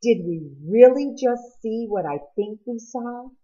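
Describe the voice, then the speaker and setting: A woman's voice: on the high side, animated, measured pace, warm tone, highs cut off, voice pushed up. A woman voicing a hurt, wary teenage boy with a blunt, questioning manner in an audiobook. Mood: amazed.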